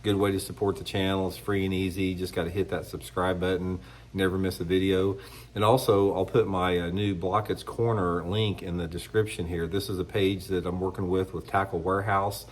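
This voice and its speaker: A man's voice: low-pitched, little pitch movement, fairly quick, a little hiss or hum. A middle-aged man, congested from a cold, giving a blunt, rambling opinion monologue on his fishing channel. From United States. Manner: rambling, blunt, restarts often. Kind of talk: casual opinion monologue to camera.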